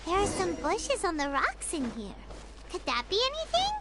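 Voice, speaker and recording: A woman's voice: very high voice, wildly swooping pitch, normal speed, heavily acted. A woman voicing a tiny, cheerful, childlike fairy companion in a video game, perky and full of curiosity. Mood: eager. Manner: perky, inquisitive. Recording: noisy game audio captured in livestream.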